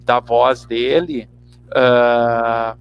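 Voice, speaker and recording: A Brazilian man giving a reflective interview answer, halting and repeating words as he searches for the next one. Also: medium pitch, little pitch movement, highs cut off, a little hiss or hum. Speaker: actor and teacher interviewed.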